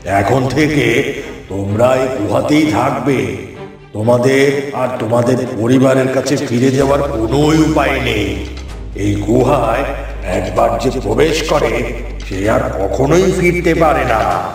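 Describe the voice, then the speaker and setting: A menacing cave spirit king speaks in a heavy, creaky voice, taunting and passing judgment. The cartoon delivery is slow, set far back in deep echo with music behind it. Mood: gloating welcome. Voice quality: heavy, gravelly voice. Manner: slow, taunting, booming pronouncements. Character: menacing cave king spirit, judging and cursing trapped men. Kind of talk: cartoon fairy tale acting.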